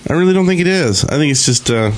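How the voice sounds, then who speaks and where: A man's voice: fairly high pitch, expressive, fast. A man co-hosting a podcast, talking informally in a casual chat about online gaming. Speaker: podcast host.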